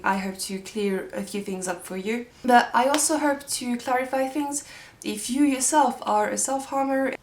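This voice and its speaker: A young woman from Switzerland speaks English with a French-speaking Swiss accent, her first language being French. Her voice sits fairly high, and she talks earnestly and reassuringly, pausing on ums as she explains. The sound has a noticeable echo, as if recorded in a bare room. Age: young adult. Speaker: video creator. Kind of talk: casual explanatory vlog.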